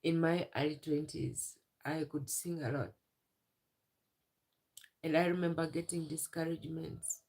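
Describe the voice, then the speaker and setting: Tearful woman, slow and gravelly. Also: low-pitched, fairly even intonation, darkish tone. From Uganda. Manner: halting, repeats herself. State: tearful, emotional. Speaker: livestreamer. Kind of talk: emotional live stream talk.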